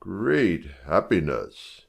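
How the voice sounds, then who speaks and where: Guy acting regal old-time king, really deep and slow, shakespeare audiobook. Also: very animated, vocal fry, full character voice. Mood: regal, commanding. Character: stately, commanding king of Scotland. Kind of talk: dramatic audiobook reading of Shakespeare.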